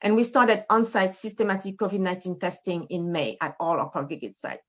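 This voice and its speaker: A woman with a French Haitian accent, a medical director giving a measured, formal conference presentation over a video call. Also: on the low side, fairly bright tone, highs cut off. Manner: measured, formal, reading data with occasional fillers. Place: in front of a brick wall indoors.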